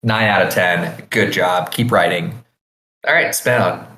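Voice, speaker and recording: Male podcast co-host, lowish voice, effusive, slangy, profane, casual delivery, echoey room sound. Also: measured pace, pushed and bright. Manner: effusive, slangy, frequent swearing. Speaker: podcast co-host reviewing game characters. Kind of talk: casual chat. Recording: roomy, echoey voice recording.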